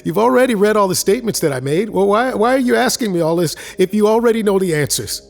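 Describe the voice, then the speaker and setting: A man's voice: high voice, animated, fast talker, creaky, soft background music. A man playing a distraught, defensive father in an audio drama, bitter and stammering with agitation. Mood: agitated, despairing.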